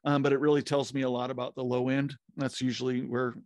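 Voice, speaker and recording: A man's voice: mid-range voice, little pitch movement, fast talker, a little mellow, no echo. A man in his fifties co-hosting a podcast from a home music studio, chatting casually about audio tools with lots of fillers and restarts. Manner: rambling, many fillers and restarts. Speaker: podcast co-host. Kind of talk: casual technical chat. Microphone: studio mic with headphones, video call.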